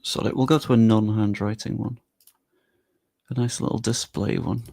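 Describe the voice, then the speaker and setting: A man's voice: lowish voice, moderate pace, vocal fry, dark tone, dry recording. A young man live-streaming, chatting casually with his viewers and thinking aloud as he works on screen.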